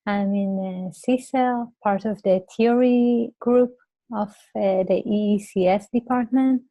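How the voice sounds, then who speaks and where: A woman, a PhD student, introducing her research hesitantly, with many "uh" pauses. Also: medium pitch, steady tone, no echo. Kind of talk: interview answers. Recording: dull, dry sound.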